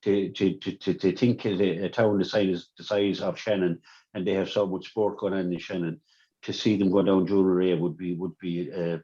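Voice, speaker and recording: A man's voice: low-pitched, steady tone, normal speed, dark tone. A man with an Irish accent giving sports analysis on the radio, repeating words and saying "you know" often. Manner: repetitive, many "you know" fillers. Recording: dull lo-fi sound.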